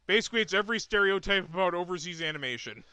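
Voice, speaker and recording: A man's voice: sits high, rapid-fire, smooth, no room sound. A man co-hosting a podcast, cracking wry jokes and laughing while recapping a cartoon. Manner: wry, deadpan quips and laughter. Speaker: podcast co-host. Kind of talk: casual podcast chat.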